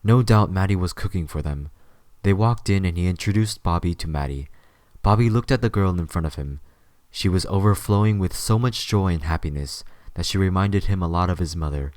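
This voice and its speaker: A man's voice: sits low, moderate pace, darkish tone, no echo, clean studio sound. A man reading scripted story narration as the narrator of an audio drama.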